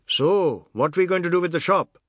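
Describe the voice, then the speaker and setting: A man's voice: sits high, normal speed, fairly bright tone, dry recording, pitched above the performer's own voice. A man voicing a brusque, irritable, businesslike Trinidadian family boss in an audiobook, clipped and insistent.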